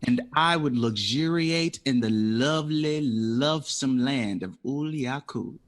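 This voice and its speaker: An actor in an audio drama playing a witty, dreamy jazz composer who talks with playful, dramatic flourishes. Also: male voice, mid-range voice, unhurried, close to an everyday voice. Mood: determined, dreamy. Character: witty, dreamy, theatrical male jazz composer, eager for independence.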